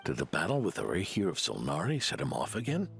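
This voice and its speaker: An actor playing a weary, self-critical older knight in a video game, with dramatic delivery over music. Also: male voice, wildly swooping pitch, fast, heavily acted. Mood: grave. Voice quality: gravelly, low. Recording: music under the dialogue.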